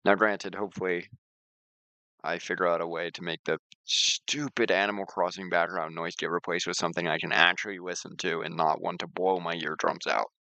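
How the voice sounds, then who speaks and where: Low, creaky-voiced man chatting on stream. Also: steady tone, fairly quick, sharp tone, no room sound. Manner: rambling, informal explainer. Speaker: streamer and software developer. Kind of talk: casual screen-share walkthrough and chat.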